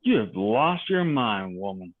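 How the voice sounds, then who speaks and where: A man's voice: fairly low pitch, big pitch swings, a little slow. A man playing an exasperated, defensive husband in a marital row at a script table read. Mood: indignant. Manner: indignant. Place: remote video call rehearsal. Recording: thin, phone-like video call audio.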